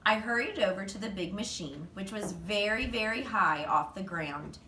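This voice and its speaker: A woman reading a children's story aloud in an animated, expressive storytelling manner. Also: mid-range voice, normal speed. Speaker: reader, teacher. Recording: roomy, distant mic, faint noise.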